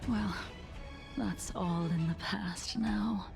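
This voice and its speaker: An actress voicing a solemn, composed, sorrowful swordswoman in a video game, with a breathy, hushed voice and measured, formal speech. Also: mid-range voice. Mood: solemn, regretful. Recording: faint game music behind.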